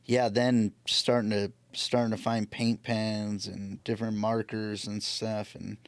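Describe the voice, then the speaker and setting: Gravelly low guy as podcast guest, laid out casually and reflective, dry sound. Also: little pitch movement, measured pace, no room sound. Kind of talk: casual reflective interview chat.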